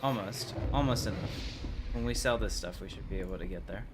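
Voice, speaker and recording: A man livestreaming a video game, chatting casually about his play. His voice is very low, and there is noticeable game noise behind him. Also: fairly quick. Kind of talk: casual live gameplay commentary. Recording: noisy game audio behind voice.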